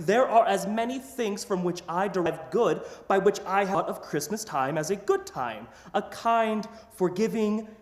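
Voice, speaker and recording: An actor playing a warm-hearted, earnest young gentleman nephew in a radio play, good-humoured and cheerful. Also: high-pitched, lively intonation, measured pace. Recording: echoey, long hall reverb.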